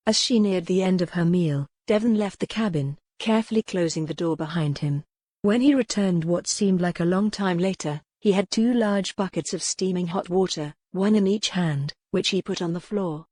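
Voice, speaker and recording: Low-voiced woman narrating, close and dry. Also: moderate pace, a little mellow, no echo. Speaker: audiobook narrator. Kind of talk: scripted narration of historical romance novel.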